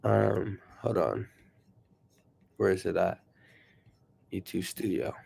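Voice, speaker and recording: A man casually chatting to viewers on his livestream, rambling and excitable, sniffling from allergies. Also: low voice, slow pace, gravelly, breathy edge. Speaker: YouTuber livestreaming.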